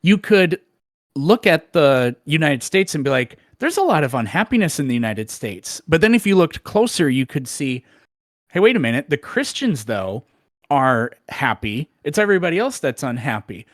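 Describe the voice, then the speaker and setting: A man's voice: a little high, big pitch swings, moderate pace, no room sound. Dry-voiced man talking loosely. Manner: loose, filler-heavy reasoning with restarts. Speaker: debater answering callers. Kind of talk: casual call-in discussion.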